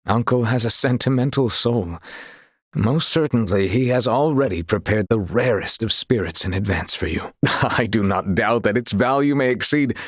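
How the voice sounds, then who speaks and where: An actor playing an earnest, chivalrous young knight in a video game, speaking formally and courteously with a husky, breathy voice. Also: male voice, medium pitch, animated, normal speed, fairly bright tone, no room sound, studio quality. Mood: amused, cheerful. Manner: formal, archaic, courtly phrasing.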